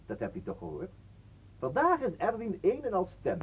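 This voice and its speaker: An actor playing a jovial, intrusive talker in a radio play, flowery, pompous and mock-courteous. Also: male voice, high-pitched, wildly swooping pitch, moderate pace, a bit bright, slight background noise, full character voice. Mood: chatty, pompous. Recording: muffled, phone-like old radio recording.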